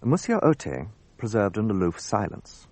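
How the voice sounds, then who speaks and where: Animated, earnest gentleman. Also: fairly low pitch, normal speed, dry recording. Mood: measured narration. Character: earnest, gallant, susceptible English gentleman narrator. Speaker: narrator. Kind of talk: radio drama acting and scripted narration.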